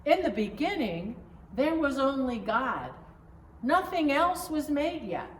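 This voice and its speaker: A woman voicing a gentle, playful father telling a story to his daughter, as a picture-book read-aloud. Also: high-pitched, sing-song, slow pace, exaggerated voice. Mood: playful, patient. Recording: roomy, distant mic, faint background noise.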